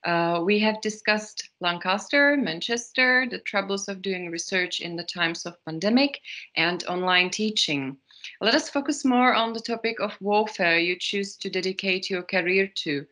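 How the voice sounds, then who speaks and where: A woman hosting an interview, asking measured, formal questions. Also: mid-range voice, bright, forceful tone.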